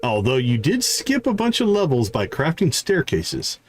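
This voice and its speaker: A man voicing a mysterious, smug video game character in a measured, teasing, theatrical way. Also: mid-range voice, huge pitch swings, faint music behind. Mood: approving, teasing. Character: mysterious, smug male game character praising a kid adventurer. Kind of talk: reading video game dialogue aloud.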